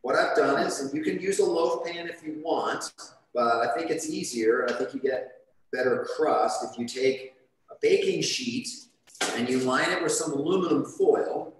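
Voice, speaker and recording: A man cooking on a studio kitchen set, explaining each step in a relaxed, chatty way. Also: a little high, normal speed. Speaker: chef demonstrating a recipe. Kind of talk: live cooking demonstration. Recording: echoey kitchen with light background noise.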